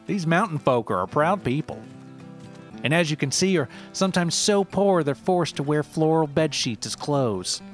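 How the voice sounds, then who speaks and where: A man's voice: on the high side, lively intonation, normal speed, soft background music, pitched above the performer's own voice, close to an everyday voice. A man voicing a cheery, smug young city hipster guide with a patronizing, slangy manner. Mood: playful, condescending. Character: cheery, smug twenty-four-year-old male city hipster mushroom guide.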